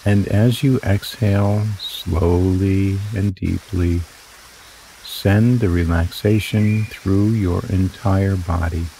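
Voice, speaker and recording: A man guiding a relaxation meditation in slow, soothing, drawn-out phrases. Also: sits low, fairly even intonation, vocal fry, warm tone, slight background noise, poor audio. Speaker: meditation guide. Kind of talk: guided meditation narration.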